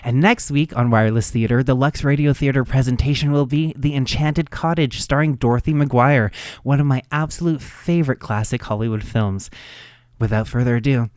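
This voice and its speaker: A man's voice: medium pitch, normal speed, a little mellow, no echo. A man hosting a radio show, reading a scripted introduction.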